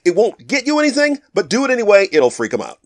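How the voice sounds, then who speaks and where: Man, scripted comic promo, animated intonation, slightly high pitch, producer announcing. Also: quick pace.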